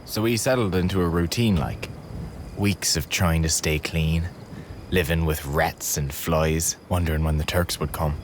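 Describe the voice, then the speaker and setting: An actor playing a world-weary, level-headed wartime soldier in a game, plain-spoken and dry, with a Newfoundland accent. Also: male voice, very deep voice, a little slow, slight background noise. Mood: reflective. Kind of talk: dramatic game acting with reflective narration. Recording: music behind the voice.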